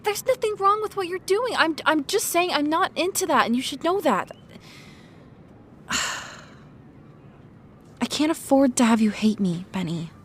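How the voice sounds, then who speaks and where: A woman playing a blunt, defensive teenage high school girl in an audio drama, easily flustered. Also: expressive, measured pace, long pauses, fairly bright tone, a little hiss or hum.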